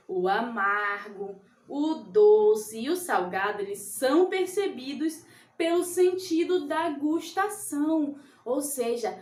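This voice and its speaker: A woman teaching a science lesson to young children in a slow, sing-song way. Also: sits high. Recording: echoey room.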